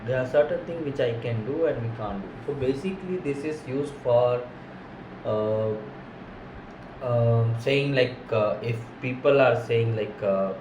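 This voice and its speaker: Man, Indian English accent, native Hindi speaker, halting with fillers, roomy echo, steady background noise. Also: mid-range voice. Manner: halting, many fillers and self-corrections. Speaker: vlogger practicing English. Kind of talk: casual self-recorded English practice.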